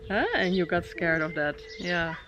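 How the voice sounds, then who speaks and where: A woman from the Netherlands with a Dutch accent and a fairly low voice, asking her child questions in a soft, encouraging way. She talks casually, with easy fillers between questions. Birdsong carries clearly around her outdoor seat. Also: normal speed, muffled recording, noisy background. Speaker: vlogger and mother. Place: outdoors at a tented safari camp.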